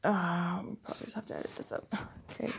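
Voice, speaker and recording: A woman's voice: lowish voice, steady tone, fast. A woman reading a children's story aloud as a parent would to a child, in a homemade recording. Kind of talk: read-aloud children's storytelling with casual asides. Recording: clear background noise, homemade recording.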